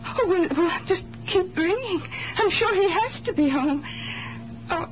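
A very high, quavering old mother's voice in a seventies radio drama. She is frail, defensive and tearful, pleading in halting repetitions. The old recording is dull, with loud music behind her. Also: lively intonation. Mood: anxious, halting. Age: older. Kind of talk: 1970s radio drama acting.

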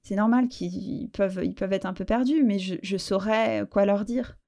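A middle-aged French woman talks through a personal conversation, her voice mellow and soft-edged. She reflects as she goes, pausing on fillers and starting her sentences again. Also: medium pitch, fairly even intonation. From France. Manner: reflective, halting with fillers and restarts. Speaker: interview guest.

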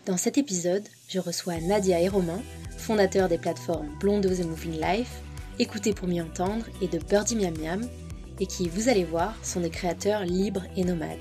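A woman's voice: fairly low pitch, normal speed, warm tone, faint music behind. A woman hosting a podcast, presenting and interviewing guests.

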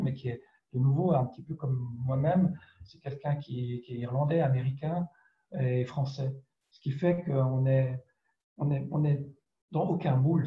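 A man from France, a writer answering interview questions reflectively and hesitantly, searching for his words. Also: medium pitch. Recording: dull, roomy, echoing sound.

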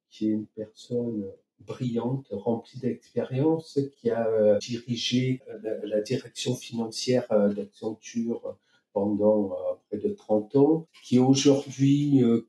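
A man's voice: mid-range voice, dark tone. A man from France answering interview questions in a hesitant, explanatory, conversational way. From France, Marseille. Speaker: interview guest, company co-founder. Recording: echoey room, distant from mic.

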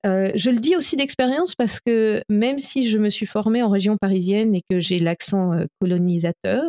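A French-born woman speaks as a guest on an interview, her voice warm and mellow. She talks earnestly, often pausing on fillers and restarting her sentences. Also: medium pitch. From France. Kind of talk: podcast interview answers.

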